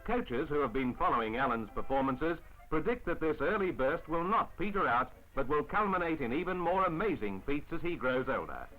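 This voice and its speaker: A man narrating a 1950s newsreel in a formal, measured announcer style on an old film soundtrack. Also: fairly high pitch, very animated, pushed and bright, rough recording. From Australia. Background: music.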